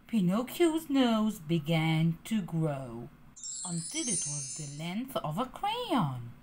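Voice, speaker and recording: A woman reading a children's story aloud in an expressive, lilting way. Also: on the low side, a little hiss or hum.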